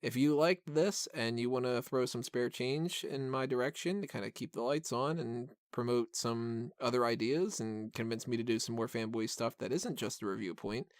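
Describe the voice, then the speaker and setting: A man's voice: medium pitch, no echo. Rambling male podcast host, dry close mic. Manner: rambling, self-deprecating. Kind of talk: casual film review podcast chat.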